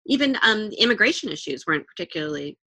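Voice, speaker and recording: A woman co-hosting a casual commentary chat, measured and wry, with frequent restarts. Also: mid-range voice, sing-song, bright, forceful tone, dry recording.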